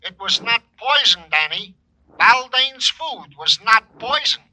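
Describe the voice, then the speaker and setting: An actor playing a chatty, dawdling police sergeant who rambles eagerly, in an old radio detective drama. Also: male voice, extremely high pitch, very animated, full character voice, rough recording. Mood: eager, rambling. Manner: rambling, slow to reach the point. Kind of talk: 1940s-50s radio detective drama acting.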